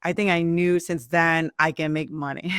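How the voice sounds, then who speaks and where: A woman with a Burmese accent tells her personal story as an entrepreneur being interviewed. Her voice is clear and smooth, her phrasing simple and halting, and the sound is dry and close. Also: sits low, fairly even intonation, a bit bright, no room sound. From Myanmar (Burma). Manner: non-native English with simple, halting phrasing. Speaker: restaurant owner and entrepreneur.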